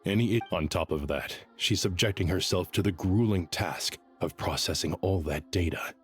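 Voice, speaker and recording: A low-voiced, grave male scholar. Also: slightly rough. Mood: somber. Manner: measured, formal, articulate. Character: grave, composed male scholar, urgent under crisis. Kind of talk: scripted video game voice acting. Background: background music.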